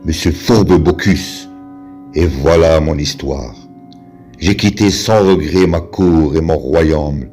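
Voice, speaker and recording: Very deep, slow-speaking man reciting poetry. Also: mellow. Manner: slow, measured, declamatory delivery with pauses. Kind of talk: solemn dramatic poetry recitation. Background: music.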